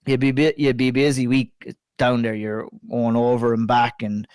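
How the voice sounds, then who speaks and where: An Irish man hosting a casual podcast chat, a bit hoarse and croaky from a flu, chatty and sweary. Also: mid-range voice, level tone, normal speed, darkish tone, no echo, studio quality. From Ireland. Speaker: podcast host.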